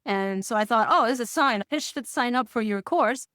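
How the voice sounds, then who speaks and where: A woman with a fairly high voice speaks as a student giving an interview testimonial. She explains things enthusiastically, chuckling often. The sound is close and dry, with little room on it. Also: normal speed, a bit bright, no echo. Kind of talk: conversational interview answers.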